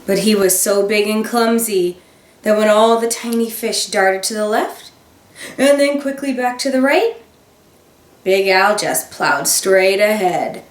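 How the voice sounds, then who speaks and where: A woman teacher reading a children's picture book aloud, expressive, with gasps and dramatic emphasis. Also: mid-range voice, unhurried. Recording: roomy sound, voice a bit far from the mic.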